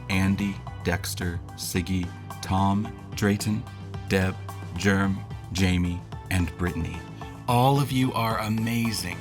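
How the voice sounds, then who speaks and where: A man speaking as a podcast host, giving a scripted announcement to listeners. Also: low voice. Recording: music under the voice. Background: clear music.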